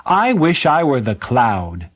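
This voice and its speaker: A man's voice: mid-range voice, wildly swooping pitch, slow pace, lo-fi sound, heavily acted. A man voicing a wistful stonecutter who wishes to be stronger, exclaiming in a storybook tale. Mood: wistful, envious. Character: male stonecutter, ever wishing to be stronger.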